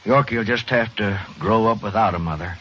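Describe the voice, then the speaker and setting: An actor playing a kindly, forgiving old rancher in a radio western, plain-spoken and folksy with a rural American accent. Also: male voice, fairly low pitch, brisk, fairly bright tone, lightly acted. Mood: resigned, gentle. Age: older. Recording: muffled, hissy old radio recording.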